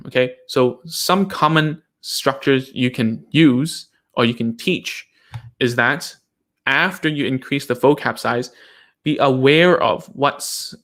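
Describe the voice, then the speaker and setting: A man's voice: mid-range voice, fairly bright tone. A man, a native Cantonese speaker, giving a casual live lesson to parents and therapists, checking in with "okay?" and "right?" after almost every point.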